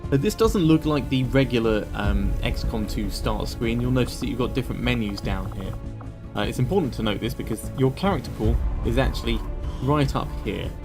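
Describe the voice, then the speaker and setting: A man narrating a step-by-step software tutorial in an informal, explanatory way. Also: mid-range voice. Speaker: tutorial presenter.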